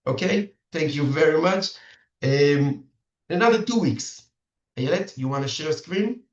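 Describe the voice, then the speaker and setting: A middle-aged man with an Israeli accent gives an earnest, halting lesson to parents and often restarts his sentences. Hebrew is his first language, so his English phrasing is careful. He sounds distant and roomy on a muffled video call. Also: on the high side, expressive. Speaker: dating coach for singles.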